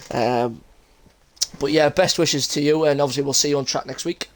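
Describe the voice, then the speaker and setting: A man's voice: fairly high pitch, moderate pace. A young man hosting post-race interviews on a racing league stream, chatty and jokey. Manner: chatty, laughs a lot, jokey. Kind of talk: casual live-stream interview chat.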